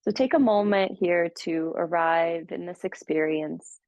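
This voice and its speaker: A woman guiding a meditation, speaking slowly and gently with soothing pauses. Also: lowish voice, no room sound. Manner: slow, gentle, soothing guidance with long pauses. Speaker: meditation teacher. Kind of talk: guided meditation. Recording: dull, muffled audio.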